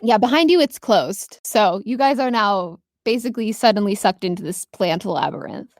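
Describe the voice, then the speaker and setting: A woman's voice: mid-range voice, animated, normal speed, dry recording, clean studio sound. A woman improvising narration as a tabletop game master, descriptive and conversational.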